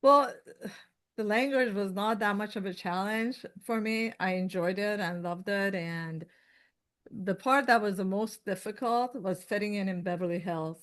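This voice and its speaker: A woman's voice: medium pitch, unhurried. A woman in her fifties from Iran with a Persian accent, a guest telling her story in casual chat, rambling with many fillers and restarts. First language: Farsi. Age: 50s.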